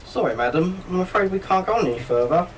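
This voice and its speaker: An amateur radio play actor as a courteous, measured railway guard with a matter-of-fact manner. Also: mid-range voice, lively intonation, noisy background, natural voice. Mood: apologetic. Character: apologetic, formal railway guard on snowy night. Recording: slightly distant community radio studio.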